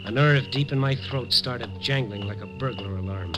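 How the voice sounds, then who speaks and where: A man's voice: mid-range voice, normal speed, over music. A man narrating as a hard-boiled reporter in a 1950s radio drama, wry and wisecracking.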